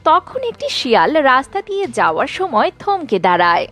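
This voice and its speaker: Female children's story narrator, high-pitched, extremely animated, faint music underneath. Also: brisk.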